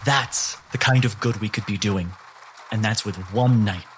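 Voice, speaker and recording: A man's voice: on the low side, moderate pace, a bit bright, slight background noise, lightly acted. A man voicing an ambitious, exhausted young nobleman who pleads his case. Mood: pleading. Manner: pleading, insistent. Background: music.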